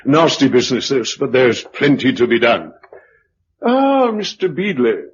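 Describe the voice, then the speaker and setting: An actor playing an officious British colonel in a radio drama, clipped, formal and bureaucratic. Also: male voice, mid-range voice, big pitch swings, a little slow. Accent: British English. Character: fussy, officious military colonel taking particulars. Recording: muffled radio drama recording, faint noise.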